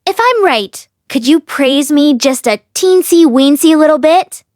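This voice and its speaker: A woman's voice: sits high, expressive, a little slow, darkish tone, no room sound, clean studio sound, voice pushed up. A woman voicing a clever, teasing young male disciple in an audiobook, talkative and playfully coaxing. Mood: playful pleading. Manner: explains at length, playfully coaxing. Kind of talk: acted audiobook dialogue.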